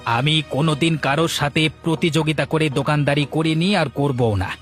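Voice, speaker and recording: Cartoon shopkeeper, male actor as gruff proud food seller, brusque and preachy, music behind. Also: a little high, normal speed, fairly bright tone, close to an everyday voice. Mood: proud, stern. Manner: brusque, lecturing. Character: proud, gruff village snack seller guarding his trade. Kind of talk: animated moral story dialogue.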